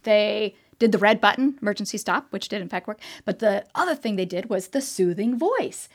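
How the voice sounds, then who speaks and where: Animated, chatty woman explaining tech. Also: medium pitch, moderate pace. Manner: animated, talkative explainer, frequent fillers and restarts. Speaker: tech expert guest. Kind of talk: casual interview chat. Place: trade show floor.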